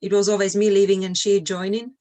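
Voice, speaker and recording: A woman's voice: medium pitch, flat delivery, brisk, warm tone. A middle-aged woman from Slovakia speaking English with a Slovak accent, chatting as an interview guest about her own life. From Slovakia, Eastern Europe. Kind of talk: casual conversational interview, personal recollections.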